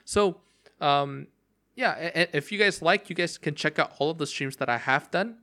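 Young male podcaster, bright, rambling casual delivery, voice worn and cracking. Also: a little high, moderate pace. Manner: rambling, many fillers and restarts. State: voice cracking from long talking. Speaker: podcast host. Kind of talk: casual solo game talk.

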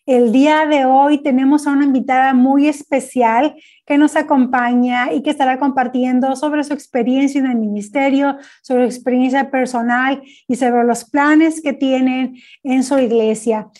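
A woman's voice: high-pitched, steady tone, normal speed, hardly any pauses, a little mellow. A woman hosting a church podcast interview, formal and welcoming, sometimes repeating words as she frames her questions. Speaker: podcast host, ministry coordinator.

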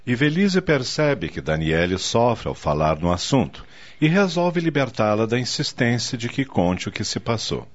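A man's voice: mid-range voice, sing-song, moderate pace. A man with a Brazilian accent narrating a scripted radio drama. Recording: dull, lo-fi radio drama recording.

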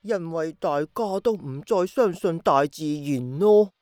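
Slow, gentle old grandmother. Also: low voice, animated, dry recording, clean studio sound. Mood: patient, explaining. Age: older. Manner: slow, sighing, patient explaining. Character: gentle wistful old grandmother, teaching her young grandson. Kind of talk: Cantonese radio drama acting.

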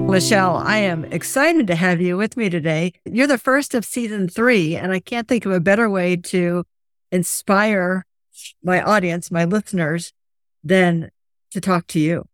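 A woman's voice: lowish voice, no room sound, studio quality. A woman hosting an interview podcast, upbeat and encouraging as she introduces and questions her guest. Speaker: podcast host.

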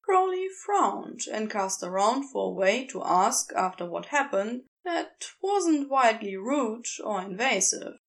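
Woman audiobook narrator, slow delivery, lively swooping intonation, scripted storytelling. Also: on the high side. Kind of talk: expressive scripted fiction narration.

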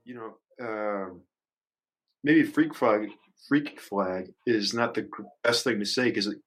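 A man chatting casually as a podcast panelist, haltingly thinking aloud as he goes. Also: on the low side, soft background music, rough recording. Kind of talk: casual chat.

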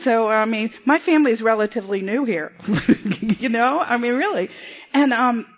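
Woman, convention speaker, high voice, restarts often, muffled hall recording. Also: animated. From South Boston, Massachusetts. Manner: self-deprecating, halting, frequent restarts. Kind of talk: personal recovery story at a podium.

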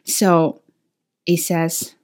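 A woman hosts a relaxed solo podcast, speaking English as a second language. Her voice sits a little low, and she thinks out loud with frequent fillers and self-corrections. The sound is picked up by her camera's microphone. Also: drawn-out words. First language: not English. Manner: thinking aloud, many fillers and restarts. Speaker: podcast host and teacher. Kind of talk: casual solo podcast talk. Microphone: camera mic.

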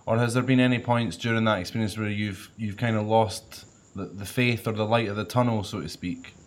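A man with a fairly low voice acts as interviewer. He asks casual questions in a tentative way, rewording them as he goes. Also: fairly even intonation, a little fast.

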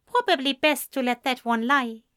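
A woman's voice: sits high, normal speed, a bit bright, clean tone, no room sound, clean studio sound, voice pushed up. A woman doing a cheerful young neighbour. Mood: chatty. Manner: cheerful, breezy.

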